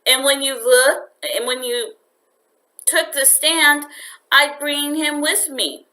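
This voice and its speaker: A woman's voice: high-pitched, fairly even intonation, slow, bright, forceful tone, voice pushed up, exaggerated voice. A woman reading a storybook, voicing an earnest young boy who is eager and anxious to please. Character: eager, pleading young boy attached to his pet.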